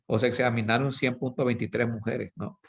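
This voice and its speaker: A man's voice: medium pitch, fairly even intonation, fairly quick, creaky, husky. Guy teaching a class, keeps going "right? yes?", fixes himself mid-sentence, kinda muffled recording. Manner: asks "¿sí?" and "¿verdad?" constantly; self-correcting, explains with everyday examples. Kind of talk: recorded statistics lecture. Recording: slightly dull lo-fi recording.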